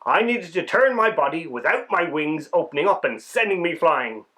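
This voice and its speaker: Man voicing an excitable little winged bug, lively pitch. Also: moderate pace. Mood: thrilled. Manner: wide-eyed, dramatic, emphatic first-person telling. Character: small excitable winged insect narrating its adventure. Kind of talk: children's storybook read-aloud.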